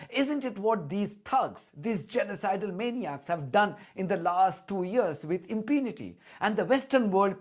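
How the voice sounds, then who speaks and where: A woman delivering scripted commentary in an indignant, emphatic manner. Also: lowish voice.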